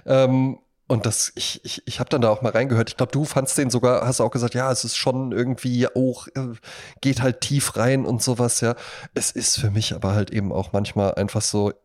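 A man's voice: mid-range voice, fairly even intonation, normal speed, darkish tone. Rambling man in casual podcast chat. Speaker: podcast co-host.

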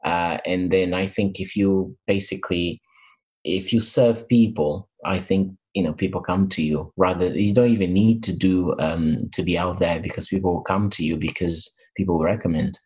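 低い声で言いよどむ男性. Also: male voice, low voice, fairly even intonation, moderate pace, a little mellow, husky, low-quality recording. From Portugal and Africa. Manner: hesitant, many fillers and restarts. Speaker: interview guest, mentor. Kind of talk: interview answers. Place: in England.

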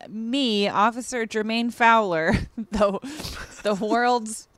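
A woman in her thirties co-hosting a podcast in casual chat, hoarse today, joking and laughing often. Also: a little high, slow, a bit bright. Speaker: podcast co-host.

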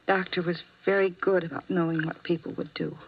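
An actress playing a young nurse who confesses to a killing in a 1950s radio drama, speaking quietly, with some words whispered. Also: female voice, fairly low pitch, steady tone, brisk, slight background noise, close to an everyday voice. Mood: confessing. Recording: dull old radio transcription.

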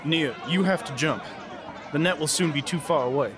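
A man's voice: fairly high pitch, normal speed, over a noisy crowd, lightly acted. A man playing a loyal, dry-humored companion in a fantasy audio drama. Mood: urgent.

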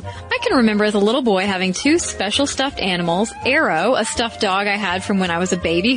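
Animated woman reading listener letters. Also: fairly high pitch. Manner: animated, amused. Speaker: podcast co-host. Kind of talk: reading listener mail aloud.

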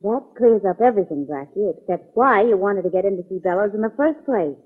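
An actress playing a playful, teasing young sweetheart of a detective in a 1940s radio drama. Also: female voice, medium pitch, expressive, a little fast. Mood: curious. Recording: tinny, rough 1940s radio recording.

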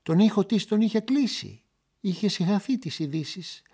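Woman voicing a husky ironic narrator. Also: mid-range voice. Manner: measured, lively, ironic narration. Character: wry third-person narrator of a crime tale. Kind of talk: radio drama narration.

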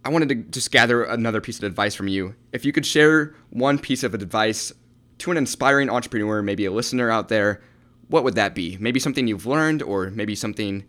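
A man's voice: mid-range voice, normal speed, a bit bright. A man hosting a podcast interview, asking questions and giving brief affirmations. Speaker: podcast host.

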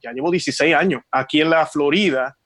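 A man in his forties speaks Spanish with a Puerto Rican accent, slightly higher pitched than most men. He tells his story earnestly as a guest, pausing on fillers. The recording is dry, with no room sound. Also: a bit bright, no echo. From Puerto Rico. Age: 40s. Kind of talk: casual conversation.

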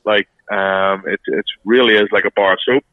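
A man whose voice is going hoarse gives frank, halting answers as a podcast guest, stopping and restarting as he talks. His voice sits low and flat. It comes through a tinny, dry phone line. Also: no echo. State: losing his voice. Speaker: former rugby player, guest pundit. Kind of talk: podcast interview over phone.

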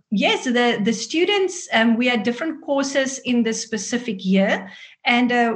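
A woman in her forties with a South African Afrikaans accent, a native Afrikaans speaker, telling her own story expressively as an interview guest. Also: mid-range voice. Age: 40s. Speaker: guest author.

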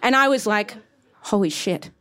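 A woman performing a comedic personal story live, wry and sweary, with deadpan asides. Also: medium pitch, moderate pace, noisy background. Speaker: comic artist reading own story to an audience.

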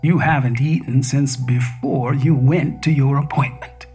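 A man voicing a calm male home assistant AI that speaks evenly and literally. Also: mid-range voice, slightly rough, soft background music. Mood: placid. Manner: even, literal, unhurried.

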